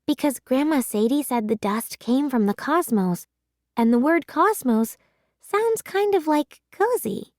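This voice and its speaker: An excitable, affectionate young girl from a storybook, played by a woman reader. Her voice is high and sweet, with earnest, unhurried delivery. It sounds close and dry. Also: no echo. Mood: delighted. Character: eager, affectionate young girl with a pet slime. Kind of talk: children's audiobook read-aloud.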